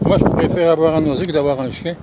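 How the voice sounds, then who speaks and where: A man's voice: a little high, steady tone, fast talker. An elderly man, answering questions in a casual street interview and patiently correcting his questioners. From Belgium. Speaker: interviewee, retired architect. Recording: very noisy windy outdoor recording.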